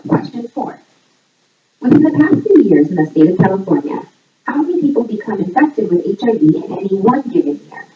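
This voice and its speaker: A woman reading a scripted voice-over like a playful quiz presenter, setting out each line clearly. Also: sing-song, a little fast. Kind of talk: scripted voice-over narration, trivia quiz for a fundraiser. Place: voice audio played back in a room during filming. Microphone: far camera picking up played-back audio. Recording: echoey, distant, muffled low-quality playback.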